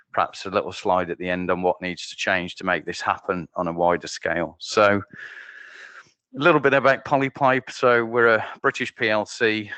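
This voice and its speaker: A man giving a conference presentation as a company managing director, loud and joking at his own expense. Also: lowish voice, no echo. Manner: loud, jokey and self-deprecating. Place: conference venue.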